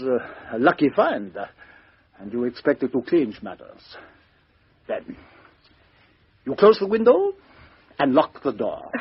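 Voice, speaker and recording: An actor playing a shrewd, methodical foreign detective in a 1950s radio mystery, speaking in a deliberate, courteous, theatrical way with rhetorical questions. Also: male voice, fairly high pitch, husky, exaggerated voice. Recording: dull lo-fi 1950s radio recording.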